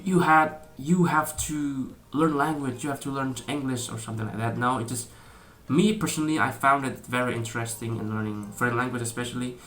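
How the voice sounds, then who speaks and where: Bright-voiced young Indonesian man, hesitant. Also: mid-range voice. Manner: hesitant, many fillers and restarts, laughs often. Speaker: vlogger. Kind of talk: casual talk to camera.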